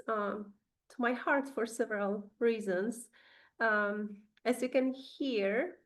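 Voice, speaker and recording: A woman with a Polish accent, whose first language is Polish, giving an online webinar presentation over a video call, pausing often with ums and uhs. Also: a little high. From Poland. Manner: hesitant, many ums and uhs.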